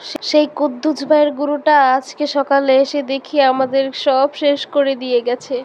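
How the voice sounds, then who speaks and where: A woman's voice: sits high, little pitch movement, moderate pace, a little mellow, dull audio, natural voice. A woman voicing a poor, caring, hard-working village mother in a children's cartoon. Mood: distraught.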